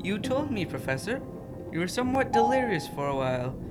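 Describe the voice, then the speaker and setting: A teenage girl playing a proud, cold submarine captain in a youth radio play, speaking formally and deliberately. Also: medium pitch, very animated, natural voice. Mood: stern. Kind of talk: youth radio theatre acting. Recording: radio play with loud music underneath.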